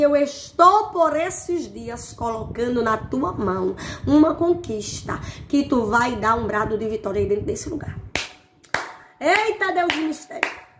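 A woman's voice: sits high, a little hiss or hum. A woman in her thirties delivering a fervent prophetic word, recorded on a phone at arm's length in a small room. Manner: fervent, repetitive, exclaims "eita Deus", gestures a lot. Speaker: prophetic preacher. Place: small indoor room, plain wall. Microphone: phone camera at arm's length.

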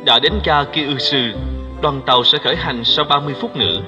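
A man voicing a formal, measured train station announcer over a loudspeaker in a cartoon. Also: medium pitch. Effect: station loudspeaker reverb. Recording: dull, echoing loudspeaker under music.

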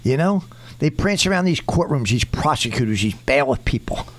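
A man's voice: medium pitch, lively intonation, moderate pace. A man hosting a veterans radio talk show, passionate and full of stammered restarts.

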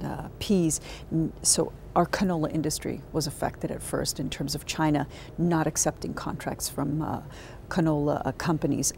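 A middle-aged woman putting halting questions as a financial news interviewer. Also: low-pitched, creaky, darkish tone, a little hiss or hum. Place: indoor stock market studio.

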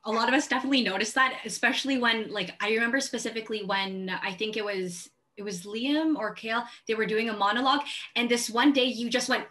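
Bright young woman interviewing on a call. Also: on the high side, quick pace, hardly any pauses, a little hiss or hum. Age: young adult. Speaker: student interviewer. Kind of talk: interview questions on a video call. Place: home room, indoors. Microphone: laptop webcam on video call.

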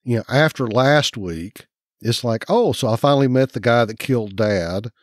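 A man's voice: medium pitch, lively intonation, normal speed, no room sound, studio quality. A man co-hosting a casual podcast, easygoing with wry asides. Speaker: podcast co-host.

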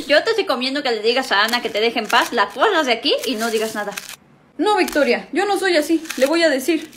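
A young woman acting out a comedy sketch, switching quickly between characters in a small room at home. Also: high voice, sing-song, a bit bright, a little hiss or hum. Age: young adult.

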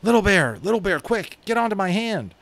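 Man doing an anxious young boy, higher. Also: expressive, fairly quick, fairly bright tone. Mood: urgent. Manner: urgent, pleading.

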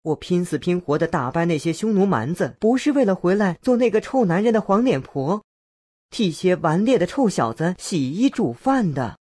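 A woman's voice: on the low side, expressive, normal speed, darkish tone, husky, no room sound. A woman voicing a fed-up, melodramatic warrior in a fairy-tale video game, with exaggerated delivery. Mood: disgusted, fed up.

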